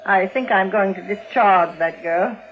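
An actress playing a frightened, clinging widow near sixty in 1940s radio suspense style, melodramatic and pleading. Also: female voice, medium pitch, unhurried, close to an everyday voice. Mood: fretful, clinging. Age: older. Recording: dull vintage radio recording with music.

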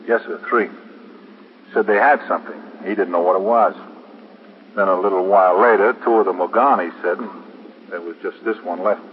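An actor playing a respectful, plain-spoken police sergeant in a 1950s radio drama, reporting in a dutiful, matter-of-fact way. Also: male voice, deep voice, fairly quick, long pauses, narrow, tinny audio, lightly acted. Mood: grave, reporting. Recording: phone-thin, hissy 1950s radio broadcast.

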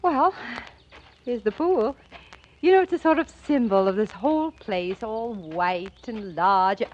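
An actress playing a genteel, evasive wealthy wife in a theatrical 1940s radio mystery style. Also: female voice, mid-range voice, lively intonation, measured pace, a little mellow. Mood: coolly teasing. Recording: muffled, noisy old radio recording.

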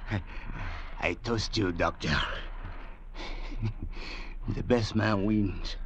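An actor playing a bitter, desperate castaway with deliberate, mock-courteous, menacing delivery in 1940s radio drama style. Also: male voice, fairly low pitch. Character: bitter, desperate male castaway, mockingly polite. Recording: noisy vintage radio recording.

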